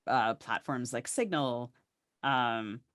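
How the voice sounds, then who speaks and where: Female co-host, reflective book-talk, feels her way through phrases haltingly. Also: very deep voice. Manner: halting, searching for words. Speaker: podcast co-host. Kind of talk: thoughtful literary conversation.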